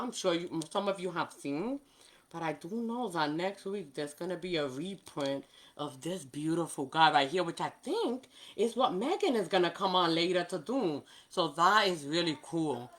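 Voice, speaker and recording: A woman's voice: on the low side, expressive, moderate pace, fairly bright tone. A woman from New York whose first language is Spanish, with a Spanish-influenced New York accent, chatting casually with viewers as she demonstrates nail art on a livestream. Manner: chatty, talks to viewers by name, laughs often. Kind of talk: casual live chat while demonstrating.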